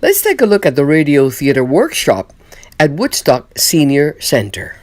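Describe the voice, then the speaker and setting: A man's voice: a little high, sing-song, normal speed, over music. A man speaking informally as an interviewer on location.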